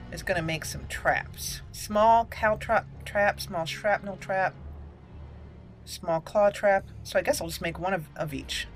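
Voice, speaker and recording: A woman giving casual gameplay commentary, rambling and thinking aloud as she plays. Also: fairly low pitch. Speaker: gameplay host.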